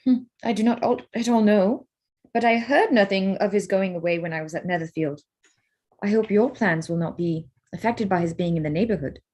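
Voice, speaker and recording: A woman playing a candid, spirited young gentlewoman in a staged period drama reading, forthright and earnest. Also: mid-range voice, normal speed, natural voice. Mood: frank.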